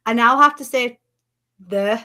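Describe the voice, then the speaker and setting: A woman with a Yorkshire accent, a guest talking casually and quickly, laughing easily. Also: fairly high pitch, pushed and bright. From Yorkshire, England. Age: adult with children. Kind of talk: casual chat.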